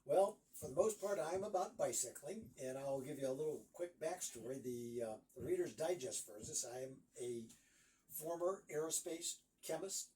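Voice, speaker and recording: A man's voice: fairly high pitch, expressive, moderate pace, dark tone, far from the mic, slight background noise, rough recording. A man in his sixties from Northeast Ohio, a guest author chatting casually in an interview and rambling with lots of fillers.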